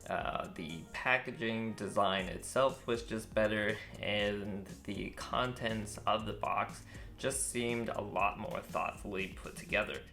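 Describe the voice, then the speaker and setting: A young man with a very low voice casually reviewing a microphone outdoors, relaxed and thoughtful, with frequent ums and uhs. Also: takes it slowly, vocal fry, faint music behind. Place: outdoors with birds nearby. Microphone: shotgun mic on phone.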